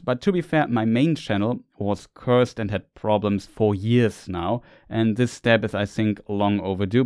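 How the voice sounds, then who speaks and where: A man's voice: lowish voice, darkish tone, dry recording, clean studio sound. Man explaining fantasy lore. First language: German. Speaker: lore video creator. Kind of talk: scripted lore narration.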